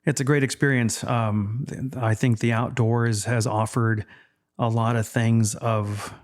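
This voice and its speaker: A man in his fifties from Texas, Spanish his first language, talking in a measured, reflective way as a podcast guest. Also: medium pitch, level tone. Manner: measured, reflective, with frequent fillers. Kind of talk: casual interview chat.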